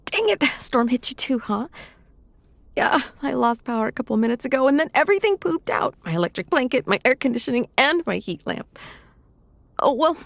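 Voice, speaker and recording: A woman playing a shy, affectionate lamia, soft and hesitant, often near a whisper, in an intimate roleplay audio drama. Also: sits high, lively intonation, brisk, no echo. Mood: chatty, worried. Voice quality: breathy, often dropping to a whisper. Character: shy, cold lamia snake-woman, affectionate childhood friend.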